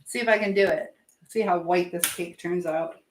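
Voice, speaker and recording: A woman's voice: on the low side, moderate pace. A woman hosting a casual live chat from her kitchen, talking informally to viewers while she cooks. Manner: chatty, reads viewer messages aloud and questions them. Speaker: live stream host giving advice. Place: indoors in a kitchen. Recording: echoey room with background noise.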